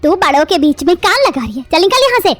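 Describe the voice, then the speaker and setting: A woman voicing a harsh middle-aged mother-in-law in an animated family drama, hurling shrill insults. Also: fast. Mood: scornful. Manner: shrill, insulting, shouting tirades.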